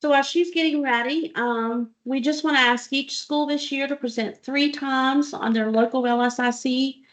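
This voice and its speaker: A woman leading a school board meeting in a public meeting room. She reads in a measured, deliberate way with a slightly high voice, and sounds friendly as she introduces the next speaker. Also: steady tone. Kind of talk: reading a mission statement and introducing speakers.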